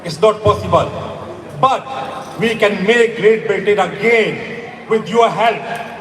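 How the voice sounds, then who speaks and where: A middle-aged man from Afghanistan giving an impassioned stage speech at an outdoor rally. He is emphatic, rises to shouts and repeats words for force. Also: high voice, slow. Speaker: rally speaker. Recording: echoey PA heard from far off.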